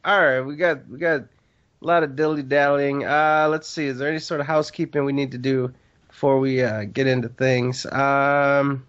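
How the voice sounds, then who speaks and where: A man's voice: mid-range voice, steady tone, normal speed, fairly bright tone, dull audio. A man co-hosting a casual gaming podcast, a bit congested, talking in a rambling, joking way with lots of fillers. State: congested from allergies. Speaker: podcast co-host.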